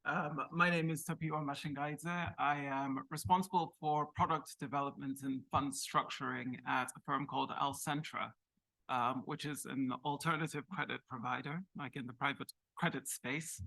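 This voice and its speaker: A man introducing himself on a finance panel, speaking deliberately with frequent pauses. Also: medium pitch, fairly even intonation, vocal fry, bright, forceful tone, no room sound, low-quality recording. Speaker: panelist, fund structuring lead. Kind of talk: conference panel talk.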